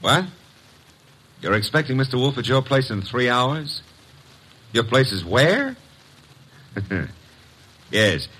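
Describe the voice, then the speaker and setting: An actor playing a wisecracking, brash detective's assistant in an old-time radio drama, sardonic and breezy. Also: male voice, mid-range voice, sing-song, slow pace, long pauses, pushed and bright, slightly rough, heavily acted. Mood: wry, incredulous. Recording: noisy vintage radio recording.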